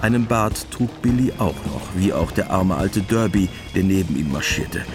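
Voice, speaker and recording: A man narrating a scripted radio drama in a deadpan, matter-of-fact way. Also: low-pitched, normal speed, music in the background.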